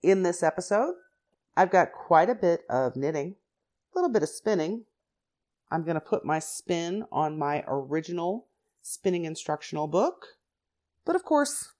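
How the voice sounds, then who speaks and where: A woman with a low-pitched voice talks through her own podcast in a loose, chatty way. She wanders off into asides as she goes, and her voice has a slight rasp and the stuffiness of someone still getting over the flu. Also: sing-song, a little slow, a little mellow. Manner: chatty and rambling, many "like" and "you know" asides. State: slightly raspy and congested after the flu. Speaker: podcast host. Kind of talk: casual podcast monologue.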